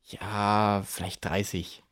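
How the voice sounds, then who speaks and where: A man's voice: on the low side, flat delivery, slow, a bit bright. A man playing an offhand, evasive boy thief in a children's audio drama, with slangy, nonchalant talk. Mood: defensive, cagey. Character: cagey boy thief from a poacher gang, offhand.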